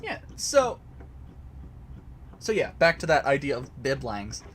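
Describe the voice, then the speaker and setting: A man's voice: medium pitch, huge pitch swings, measured pace, fairly bright tone, a little hiss or hum. A man casually explaining a topic to a live chat from a home basement recording area, hesitant, with many fillers and restarts. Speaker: host answering chat.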